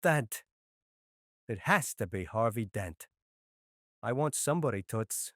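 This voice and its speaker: An actor playing a blustering, irritable mob boss with gruff, indignant street slang in a dramatized crime comic. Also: male voice, mid-range voice, expressive, measured pace, a bit bright, no room sound, studio quality. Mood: irritated, commanding.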